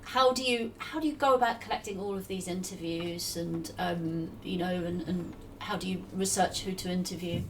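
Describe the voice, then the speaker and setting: A woman's voice: fairly low pitch, normal speed, hardly any pauses. Distant-sounding woman hosting a podcast. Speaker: podcast host. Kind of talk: conversational interviewing. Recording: distant mic with faint background noise.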